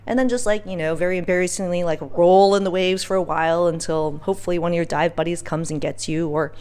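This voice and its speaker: A woman's voice: fairly low pitch, fairly even intonation, fast talker, darkish tone, smooth. Casual, candid woman podcast host. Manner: candid, informal, slangy, emphatic. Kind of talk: casual personal storytelling podcast.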